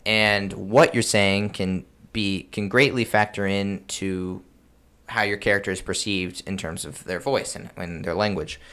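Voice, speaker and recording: A man with a fairly low voice hosts a podcast. He explains in a casual, conversational style, leaning on filler words and breaking off to rephrase himself. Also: fairly even intonation, measured pace, a bit bright, smooth. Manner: informal, frequent filler words and self-interruption. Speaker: podcast host giving game master advice.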